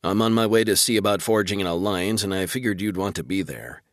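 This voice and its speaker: Man reading as a deep-voiced, wry fleet officer. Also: quick pace, no room sound, studio quality, natural voice. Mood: grim, wry. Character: wry, weary male space fleet officer. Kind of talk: audiobook character dialogue.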